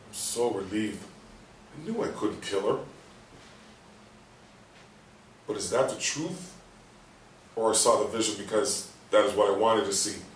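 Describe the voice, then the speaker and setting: A man's voice: on the low side, normal speed, close to an everyday voice. An actor in a staged play reading playing a guilt-ridden, bitter husband who hides that he can talk, recorded in a roomy space. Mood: troubled. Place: roomy indoor space, far from mic. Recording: roomy, distant mic with faint noise.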